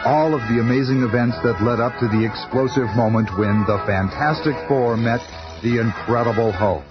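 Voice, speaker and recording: A man's voice: mid-range voice, normal speed, muffled recording, lightly acted. A man performing as a bombastic comic-book show announcer in 1970s radio style. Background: loud music.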